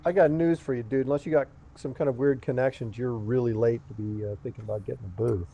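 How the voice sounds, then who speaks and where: A man's voice: medium pitch, fairly even intonation, fast talker, creaky, lo-fi sound. A man bantering bluntly and teasing the others in a casual chat. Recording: loud noise with clicks.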